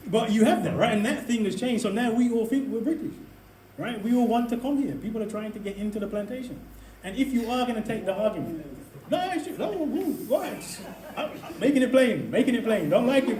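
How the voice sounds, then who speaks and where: A man giving an impassioned debate speech, punctuated by laughs and quick asides. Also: sits high, a little mellow, a little hiss or hum. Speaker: invited speaker at a debate. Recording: echoey hall, mic from a distance.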